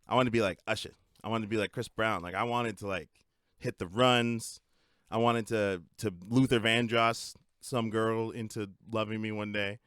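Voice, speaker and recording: A young man co-hosting a casual podcast chat, animated and playful, laughing often. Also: on the low side, moderate pace, clear, resonant tone, no room sound, clean studio sound. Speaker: podcast co-host.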